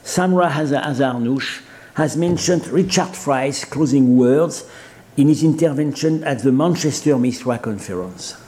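A man with a Persian accent giving an academic seminar lecture, halting often with fillers and restarts. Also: mid-range voice, warm tone.

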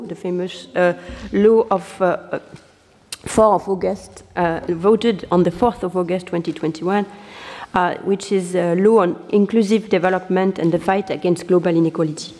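A woman introducing a guest speaker at a seminar, halting and full of fillers. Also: on the low side, a little mellow. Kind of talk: introduction speech. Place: large lecture hall. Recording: reverberant lecture hall.

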